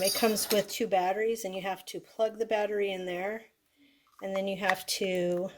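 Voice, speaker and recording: A woman giving a casual, unscripted product demonstration, explaining things step by step in a conversational way. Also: medium pitch. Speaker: product reviewer. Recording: clearly noisy recording with clicks.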